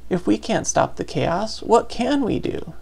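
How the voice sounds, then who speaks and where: A man's voice: high-pitched, very animated, unhurried, vocal fry, darkish tone, exaggerated voice. An actor playing a naive, earnest seventeen-year-old boy weaver in a video game, with a questioning manner. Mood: anxious questioning.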